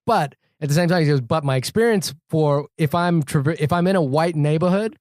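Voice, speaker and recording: Man, film podcast co-host, casual talk, rambling, pitch leaps up often, dry close mic. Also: fairly quick, no room sound. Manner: animated, rambling, voice jumps up when starting points. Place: New York.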